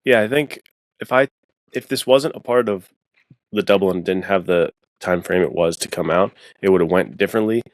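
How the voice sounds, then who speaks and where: A man's voice: deep voice, normal speed, no room sound. A man talking as a guest author in a casual, slangy podcast chat. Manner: informal, slangy.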